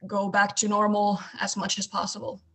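A woman's voice: mid-range voice, normal speed, creaky. Young Finnish-accented woman, podcast guest, easygoing storyteller, mid-range voice. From Eastern Finland. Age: 20s. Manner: relaxed storytelling with many fillers. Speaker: guest, basketball coach. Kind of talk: casual podcast interview.

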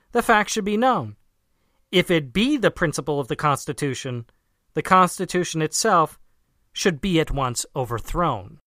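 A narrator reading a formal political essay aloud in a measured, emphatic way. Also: medium pitch, a bit bright, dry recording, studio quality. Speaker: audiobook reader.

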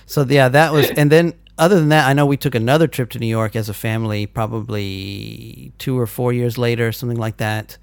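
A man's voice: mid-range voice, steady tone, fairly quick, gravelly, hardly any pauses, clear, resonant tone, no echo. A man in his forties, interviewing a relative in a friendly, easygoing way. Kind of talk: casual conversation.

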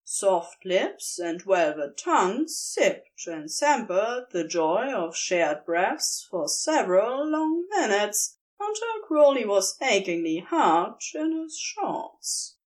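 A woman reading fan fiction aloud in soft, unhurried narration. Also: medium pitch, sing-song. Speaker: podfic reader.

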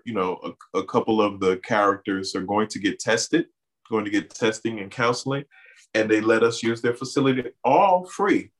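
A man from the Bahamas raised in Miami, a guest in an interview, telling stories with lots of "you know" fillers. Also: fairly low pitch. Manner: animated storyteller, many "you know" fillers. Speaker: artist and community organizer guest. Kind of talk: interview conversation.

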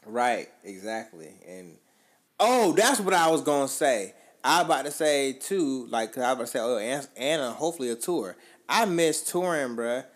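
A man, co-hosting a podcast in rambling, profane chat that often trails off quietly. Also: mid-range voice, lively intonation, moderate pace. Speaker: podcast co-host. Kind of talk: casual chat.

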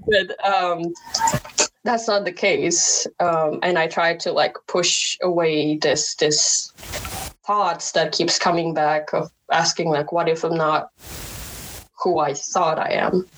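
Slow, hesitant woman. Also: on the low side, fairly even intonation, a bit bright, a little hiss or hum, rough recording. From Indonesia. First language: Indonesian. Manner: hesitant, many fillers and restarts, laughs often. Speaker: podcast guest. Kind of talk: personal interview talk.

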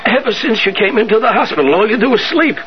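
Ailing, feisty old American man, very high voice, muffled vintage radio drama sound. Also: expressive, quick pace. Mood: chatty, needling. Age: older. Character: ailing, chatty old male hospital patient, whiny and feisty. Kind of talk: 1970s radio drama acting. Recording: muffled, slightly noisy 1970s radio recording.

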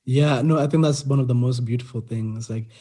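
A young man from Kenya talks casually and easily, trailing through fillers as he hosts a conversation. His voice is warm and dark, with a slightly husky edge. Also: mid-range voice, brisk. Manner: relaxed, chatty, many fillers.

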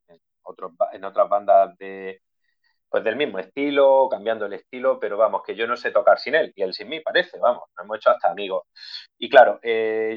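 A man from Spain, a guitarist in a punk band, chatting casually and swearing freely in an interview. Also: fairly low pitch. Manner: relaxed, swears freely, jokey. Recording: dull lo-fi recording.

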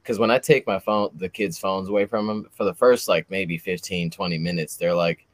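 Low-voiced rambling host in his thirties. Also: fairly even intonation, moderate pace, no room sound. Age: 30s. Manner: rambling, heavy on fillers like "like" and "you know". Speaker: podcast host. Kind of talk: casual interview chat.

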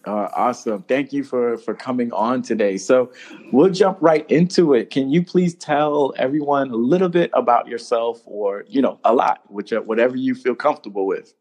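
A man's voice: mid-range voice, moderate pace, a little mellow. A man hosting a conversational podcast interview in an affirming, appreciative way. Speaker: podcast host.

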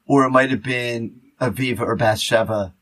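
A man chatting casually as a podcast host, in a halting, rambling way full of fillers. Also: mid-range voice, fairly even intonation, fairly bright tone. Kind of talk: casual chat.

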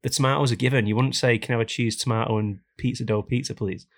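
A man hosting a casual podcast, hoarse and raspy from a cold, ranting jokily about things that annoy him. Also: medium pitch, steady tone, quick pace. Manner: jokey, complaining rants. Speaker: podcast host.

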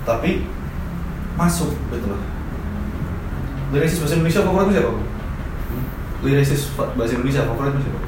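A man rattles along quickly and bluntly in a casual chat. He sits far from the mic in a very reverberant room, with noise underneath. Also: mid-range voice. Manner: fast, animated, blunt. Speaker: musician. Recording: very echoey, distant mic, noisy.

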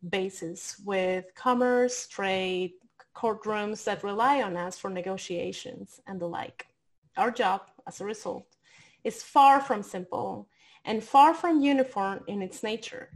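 A woman's voice: medium pitch, lively intonation, a little slow. A woman with a mid-range voice co-hosts an interview podcast. She welcomes the guest in a friendly way and asks him questions directly. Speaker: podcast co-host. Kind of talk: casual interview hosting.

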